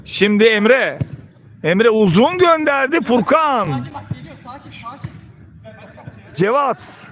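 A man's voice: very high voice, big pitch swings, drawn-out words, long pauses, fairly bright tone. A man doing live play-by-play football commentary in clipped phrases, calling out player names. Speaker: match commentator.

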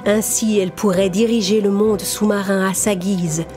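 A woman narrating a children's cartoon tale in clear, even storytelling. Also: medium pitch, moderate pace, faint music behind.

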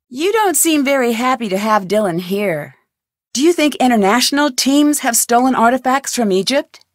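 A bright, inquisitive young girl detective for a mystery game, her voice sitting a touch high and swinging freely up and down as she muses and questions. It is recorded close and dry in a studio, with no room sound around it. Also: dry recording, full character voice. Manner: inquisitive, thinking aloud while investigating. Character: young female amateur detective, curious and persistent. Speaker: game protagonist. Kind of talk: game voice acting. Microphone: studio microphone.

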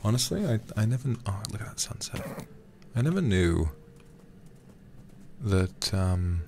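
Deep, gravelly, soft-spoken male streamer. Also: very animated, a little slow, slight background noise. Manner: murmuring, often dropping into whispers, talking to himself. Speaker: livestreamer. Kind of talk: soft, casual ASMR gaming stream chat.

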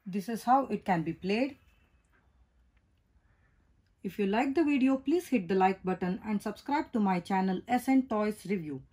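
Woman calmly narrating a toy unboxing. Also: medium pitch, slightly rough. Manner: slow, careful, instructional. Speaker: toy reviewer. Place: indoors, at a table. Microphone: off-camera voice, hands only in frame.

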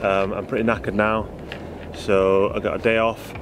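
A man's voice: fairly low pitch, flat delivery, moderate pace, noisy background. A young man vlogging casually about his ski training, chatty and dry, filmed on a handheld camera at arm's length. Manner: chatty, dry and self-deprecating. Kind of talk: casual vlog. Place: indoor ski hall and outdoors by a van and beach. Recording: music playing underneath.

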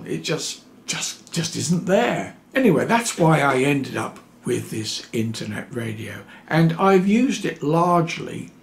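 An elderly man with a creaky, gravelly voice chats to camera from a home workroom. He takes his time, explaining in a halting, wry manner with dry sarcasm. His pitch sits in a middling male range. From New Zealand (lives there). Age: 70s. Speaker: electronics hobbyist video host. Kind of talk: unscripted explanatory talk to camera. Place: small home electronics workroom, indoors.

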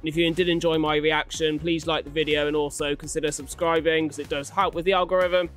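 A man's voice: on the high side, flat delivery, fast, fairly bright tone, soft background music. A young adult man giving casual, slangy, exclamatory reaction commentary into a close desktop microphone in a small room. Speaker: reaction video host. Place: small indoor room at home. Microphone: desktop condenser microphone, close up.

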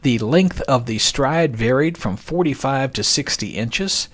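Male podcast narrator, mid-pitched, measured and expository, reading from script and quoted sources. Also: lively intonation, a bit bright.

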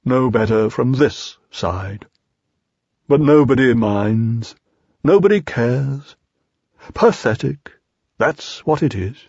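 A man's voice: mid-range voice, lively intonation, slow pace, pauses often, a little mellow, husky, dry recording, highs cut off, full character voice. A man voicing a gloomy, self-pitying old grey donkey with a resigned, sardonic manner in a storybook.

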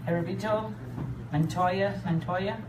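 A woman's voice: medium pitch, steady tone. A woman formally presenting petitioners and reading out their names at a ceremony. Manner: formal, measured, reads names carefully. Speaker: immigration official presenting petitioners. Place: hall with large windows, seated audience. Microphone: phone recording from the audience. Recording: echoey hall, distant, audience noise.

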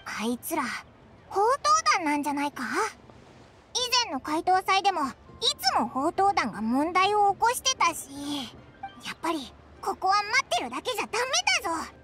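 A woman voicing a small childlike fairy companion in an anime game, squeaky, cheeky and blunt, with brash boyish phrasing. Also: very animated, normal speed. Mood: eager. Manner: brash, boyish informal speech, exclaiming. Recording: studio voice over game music. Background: background music.

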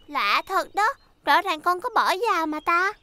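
A woman's voice: very high-pitched, lively intonation, moderate pace, bright, forceful tone, no echo, heavily acted. A woman voicing a boastful, gossipy young delivery vehicle in a children's cartoon, teasing and childlike. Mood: puzzled, insistent. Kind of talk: dubbed children's cartoon acting.

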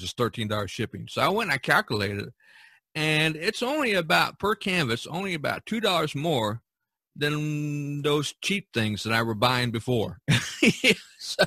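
A man's voice: mid-range voice, bright, forceful tone, no echo. A man hosting a casual podcast, talking in a rambling, folksy way and repeating himself. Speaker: podcast host.

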